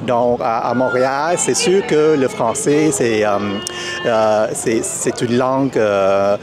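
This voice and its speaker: A man's voice: mid-range voice, slow, music in the background. A man born in Vietnam, a native Vietnamese speaker, answering street interview questions as a festival volunteer, hesitant and full of fillers and restarts. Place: outdoors at a city festival.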